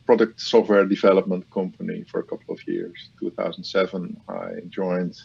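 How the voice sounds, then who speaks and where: A man heading a supercomputing department, giving measured, halting technical explanations in an interview. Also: deep voice, sing-song, vocal fry, breathy edge, highs cut off.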